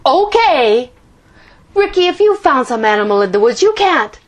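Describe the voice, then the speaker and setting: A woman doing an excitable ten-year-old boy. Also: high-pitched, moderate pace, pitched above the performer's own voice. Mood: pleading. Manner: excited, stammering, pleading.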